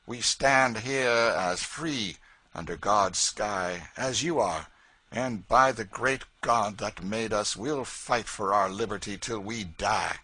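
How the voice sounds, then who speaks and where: A man reading aloud, voicing a defiant young man who speaks slowly and solemnly with force. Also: lowish voice, slightly rough, no room sound, full character voice. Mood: bitter. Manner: slow, solemn, forceful declaiming.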